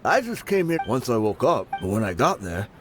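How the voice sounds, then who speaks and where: A man's voice: medium pitch, big pitch swings, brisk, faint music behind, lightly acted. A man voicing an easygoing, humbled, amiable male mercenary in video game dialogue. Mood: relieved, amused.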